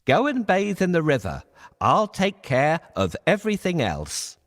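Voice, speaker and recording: Man voicing a clever high-pitched storybook cat, theatrical. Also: huge pitch swings, unhurried, dry recording, studio quality, exaggerated voice. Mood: scheming, confident. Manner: theatrical, courtly. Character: clever, scheming male cat servant, courtly and eager. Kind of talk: animated children's storybook read-aloud.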